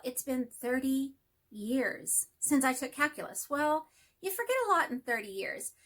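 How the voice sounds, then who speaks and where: Middle-aged Texan woman, nasal, bright, chatty self-deprecating vlogger. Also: a little high. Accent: Texas. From Texas. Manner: rambling, friendly, self-deprecating. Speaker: hobby vlogger and math teacher. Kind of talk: casual chat to camera.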